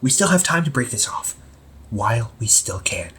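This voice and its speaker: A man playing a young supervillain in an amateur audio roleplay, husky and breathy, speaking earnestly and haltingly to the listener. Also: medium pitch, very animated, a bit bright, a little hiss or hum. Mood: pleading, worried. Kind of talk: amateur audio roleplay, intimate one-sided dialogue to listener.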